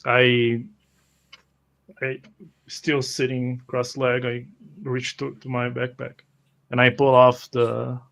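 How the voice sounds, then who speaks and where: A man narrating his character's actions in a tabletop game, in halting, piecemeal phrases. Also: mid-range voice, monotone, creaky, a bit bright, poor audio.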